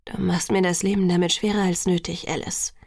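A woman voicing a courteous, wry young man in an audiobook, quiet and coolly restrained. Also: lowish voice, little pitch movement, fairly quick, gravelly, no room sound, studio quality. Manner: quiet, murmuring, cool.

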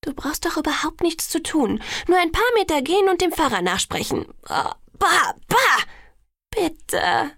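A woman voicing an excitable, stubborn young woman in an audiobook, girlish and dramatically pleading. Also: high voice, moderate pace, no echo, studio quality, pitched above the performer's own voice. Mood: excited begging.

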